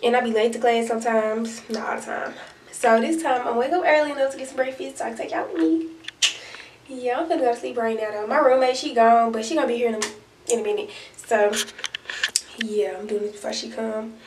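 A young woman chats to her camera in a relaxed, slangy way. Her voice sits a little high. The sound is roomy and distant, with clear noise behind her in a small dorm room. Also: fairly quick. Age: young adult. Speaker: vlogger. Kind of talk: casual vlog chat. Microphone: camera set a few feet away. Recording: roomy, distant, noisy home recording.

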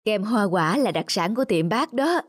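A woman's voice: medium pitch, animated, moderate pace, slightly rough. Woman voicing a patient ice cream man. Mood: welcoming. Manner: patient, explanatory. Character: kindly middle-aged male ice cream seller, patient, respectful. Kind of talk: cartoon dubbing. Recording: music behind the voice.

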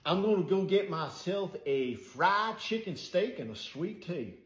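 Man, high lively voice, easygoing, echoey room. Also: measured pace. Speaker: hired actor in a comedy video. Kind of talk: casual to-camera talk.

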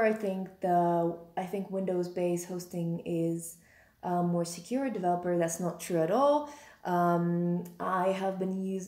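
A young woman from Russia, Russian as her first language, hosts a casual livestream and reads out viewer comments. Her voice sits a little low and she often restarts her sentences. The webcam sound is roomy, with the reflections of a high-ceilinged room. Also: darkish tone. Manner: chatty, restarts sentences, laughs at own slips. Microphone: webcam at desk.

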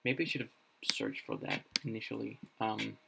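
A young man whose first language is Danish, thinking aloud on a casual live stream, halting with many fillers and restarts, recorded in a small room. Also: on the low side, huge pitch swings, gravelly, heavy background noise. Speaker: streamer building a constructed language.

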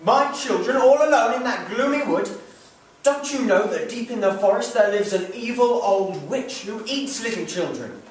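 A man's voice: high voice, steady tone, measured pace, hardly any pauses, recorded from a distance, voice pushed up. A man voicing a cheerful, poor broom-maker father with a hearty, emphatic manner, recorded off-mic in a very echoey room. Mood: alarmed.

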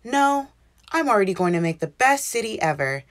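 A woman's voice: mid-range voice, very animated, a little fast, lightly acted. A woman voicing a proud, impatient young boy in a children's storybook, speaking in an assertive way.

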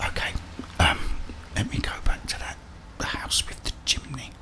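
British guy whispering asmr gameplay, kinda muttering to himself, close on the mic. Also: moderate pace. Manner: muttering to himself, thinking aloud. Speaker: gaming streamer. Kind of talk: whispered ASMR game commentary.

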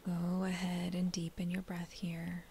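Hushed, very slow woman guiding meditation. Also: on the low side, completely flat delivery, a little hiss or hum. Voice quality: breathy, hushed, near-whispered. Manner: gentle, unhurried, with short phrases and pauses. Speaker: meditation guide. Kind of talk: slow guided meditation narration.

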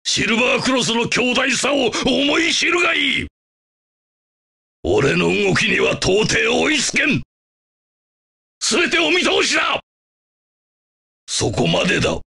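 A man's voice: very high voice, big pitch swings, measured pace, creaky, long pauses, pushed and bright, breathy edge, exaggerated voice. A man voicing a cocky, boastful young warrior in an anime battle game. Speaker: video game voice actor.

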